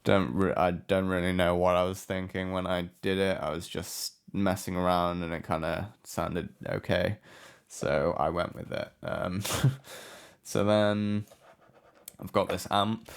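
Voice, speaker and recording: A man giving a casual, unscripted software walkthrough as a tutorial presenter, low-key and hesitant in manner. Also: flat delivery, a little slow, clean tone. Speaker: music production tutorial presenter.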